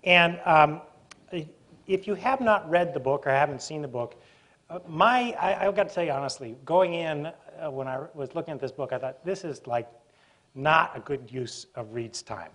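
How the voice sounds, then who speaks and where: A middle-aged man with a voice that sits a little high introduces and questions a guest on stage. He talks in a chatty, wry way, restarting sentences as he goes, picked up on a lapel mic in a university auditorium. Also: moderate pace, fairly bright tone. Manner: chatty, self-interrupting, wry. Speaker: moderator. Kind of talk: moderated stage conversation. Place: university auditorium with live audience.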